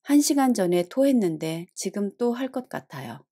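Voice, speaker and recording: A woman reads lesson prompts at a very quick clip, her pitch moving lively up and down. Her voice is mellow and soft-edged, and the delivery stays brisk and even. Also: husky. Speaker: Korean prompt reader.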